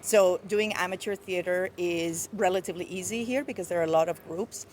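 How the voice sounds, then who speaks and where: Farsi-native woman from Iran, lowish voice, reflective interview guest, faint ambience around. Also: a little fast, a little hiss or hum. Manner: reflective, with soft fillers and light laughs. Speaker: guest poet. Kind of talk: casual interview. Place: outdoors outside a bookshop.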